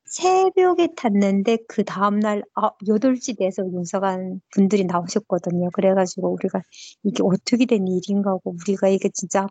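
Interviewee: North Korean-accented woman in her thirties, mellow dark voice, emotional halting storytelling, muffled dry lo-fi capture. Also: medium pitch, no echo. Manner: emotional storytelling, frequent fillers and restarts. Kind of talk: personal recollection in an interview. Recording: dull lo-fi dry recording.

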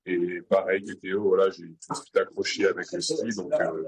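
A man's voice: deep voice, steady tone, normal speed, slightly rough. A man in his twenties, an athlete answering interview questions hesitantly, with many fillers. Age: 20s.

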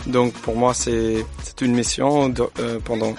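An American man, a radio guest, speaking French haltingly with many fillers and pauses. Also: medium pitch, flat delivery, faint music behind. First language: English. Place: radio studio.

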